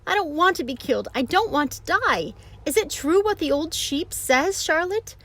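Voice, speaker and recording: A woman's voice: animated, normal speed, bright, forceful tone, heavily acted. Wilbur, a woman doing a panicked young pig. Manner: shrill, sobbing pleas. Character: young male pig, frightened and wailing. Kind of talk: storybook reading.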